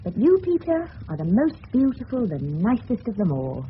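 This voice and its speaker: Affectionate, seductive English wife, high and very animated, muffled vintage radio play. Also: female voice, normal speed. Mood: tender, coy. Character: affectionate, seductive married woman in 1930s English suburbia. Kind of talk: 1970s radio drama acting. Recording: muffled, noisy old radio broadcast.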